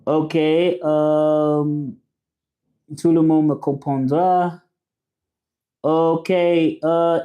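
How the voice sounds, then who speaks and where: Slow, halting man with an English-speaking accent. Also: a little high, darkish tone. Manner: slow and halting, with many fillers and restarts. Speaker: livestream host. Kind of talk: casual live chat reading viewer comments.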